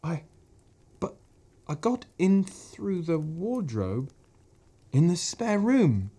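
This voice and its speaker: A polite, slightly puzzled young girl, read by a man in a storybook, barely changed from the reader's own voice. Dark, husky tone with a lively, rising lilt. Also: on the high side, takes it slowly, voice pushed up, exaggerated voice. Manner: plain and matter-of-fact, a little puzzled.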